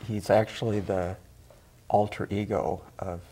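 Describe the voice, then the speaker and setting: A middle-aged man with a gravelly, husky voice, explaining things in a halting, measured way as an interview guest. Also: low voice, level tone, dark tone, slight background noise. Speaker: theatre board member and director, guest. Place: small TV studio, seated at a table. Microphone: lapel mic.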